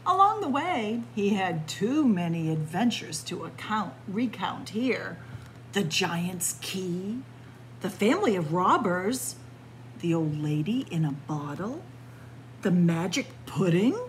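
A woman reading a picture book aloud as a library story time host, expressive and pausing deliberately between phrases. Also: medium pitch, slight background noise, poor audio. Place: indoors in a library, among bookshelves.

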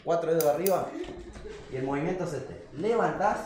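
An Argentine man with a lively, slightly high voice walks someone through a haircut. He explains each step patiently. The room is very echoey, with music behind. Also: fairly quick, a little hiss or hum. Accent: Rioplatense Spanish. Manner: instructive, explains technique step by step. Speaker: barber coach. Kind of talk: casual chat and hair-cutting instructions. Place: barber shop studio.

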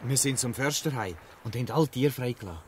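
Defiant Swiss German gang boy acted by a man, mid pitch. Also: animated, fast talker, a little hiss or hum, natural voice. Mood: gloating. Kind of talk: dialect radio play acting.

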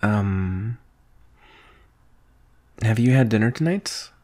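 A man acts out a shy, adoring young male best friend in a boyfriend ASMR roleplay. His voice is low and soft. He speaks slowly and hesitantly, with a nervous, tender manner. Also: expressive. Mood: caring, surprised. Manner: hesitant, soft-spoken, breathy sighs and nervous chuckles. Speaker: audio roleplay performer. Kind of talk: boyfriend roleplay ASMR, intimate scripted acting.

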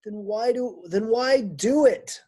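A man's voice: extremely high pitch, slow, dark tone, husky, voice pushed up, heavily acted. A man reading aloud, voicing a weary, put-upon older woman teacher who complains plaintively and near tears. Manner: plaintive, whiny and tearful.

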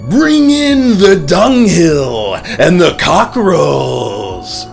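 Man performing a fanatical cult pastor, horror audiobook, drawn-out preaching, exultant, booming, very slow delivery. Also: very animated, creaky, faint music behind, full character voice. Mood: fervent, shouting. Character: fervent, menacing cult pastor rallying his congregation.

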